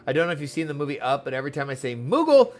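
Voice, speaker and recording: A man's voice: a little high, sing-song, rapid-fire, faint music behind. Chatty, playful male gaming streamer. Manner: chatty, easily distracted, playful. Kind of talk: casual game commentary to viewers.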